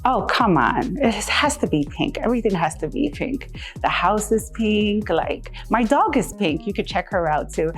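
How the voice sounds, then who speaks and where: A woman from Jamaica, giving casual, animated and emphatic interview answers as a music artist. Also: mid-range voice, moderate pace. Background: background music.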